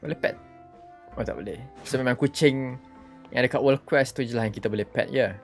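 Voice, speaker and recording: A man's voice: medium pitch, fast. A man with a Malaysian accent giving casual, slangy live game commentary and offhand reactions. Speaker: game livestreamer. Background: game audio and soft music.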